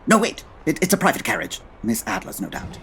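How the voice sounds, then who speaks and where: An actor playing a brisk, commanding male English detective, formal and articulate, in a comedy audio drama. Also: medium pitch, huge pitch swings, vocal fry, a bit bright, slight background noise, full character voice. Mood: urgent.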